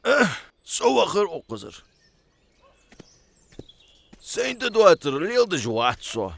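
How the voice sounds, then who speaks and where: Excitable cartoon male, played by a man: very high, creaky, swooping delivery. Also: measured pace, exaggerated voice. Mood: animated. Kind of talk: animated cartoon dubbing.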